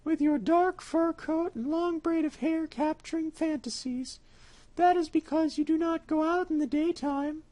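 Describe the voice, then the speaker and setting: A sly, greedy tomcat from a storybook, smooth-tongued and coaxing, as a woman reading aloud puts him on. The voice sits far above her own speaking pitch and draws its words out in a wheedling way. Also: fairly even intonation, a little slow, dark tone. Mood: smug. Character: sly greedy male cat, smooth talker.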